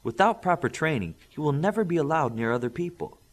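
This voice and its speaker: Man voicing a businesslike thirties woman, formal and measured. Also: fairly high pitch, animated, voice pushed up. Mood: serious, firm. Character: businesslike, polite, firm official woman in her thirties.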